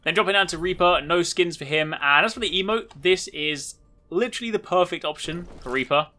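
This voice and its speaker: Bright, forceful male game commentator. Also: high voice, fairly quick. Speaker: video host showcasing game cosmetics.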